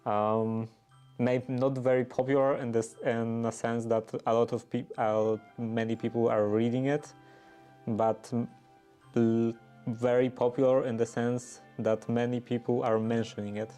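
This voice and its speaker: A man with a Polish accent talks slowly in a flat, unhurried voice, stopping often to search for words as he answers viewers on a livestream. His tone is mellow and soft-edged, with faint music playing behind him. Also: mid-range voice. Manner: hesitant, thinking aloud with many fillers and restarts. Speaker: livestream host.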